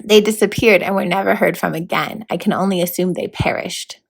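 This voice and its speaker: A woman's voice: fairly low pitch, steady tone, a little fast, vocal fry, husky. Woman reading as proper, stern storybook horned toad. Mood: grave. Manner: proper, grandly worded, matter-of-fact. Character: formal old-fashioned male horned toad mentor, stern, fussy. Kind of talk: storybook read-aloud.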